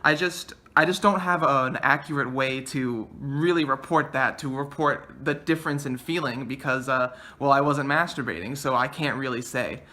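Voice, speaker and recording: A man's voice: medium pitch, moderate pace, few pauses, pushed and bright. A man in his twenties talking casually to camera from a small bedroom, earnest and thinking aloud with frequent ums. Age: 20s. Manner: gestures a lot with his hands. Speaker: vlogger.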